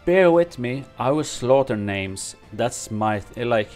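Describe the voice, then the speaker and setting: A man who is not a native English speaker, reading game patch notes aloud and commenting on them from his home streaming room, halting with many fillers and restarts. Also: mid-range voice, lively intonation, darkish tone, soft background music. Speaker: gaming streamer.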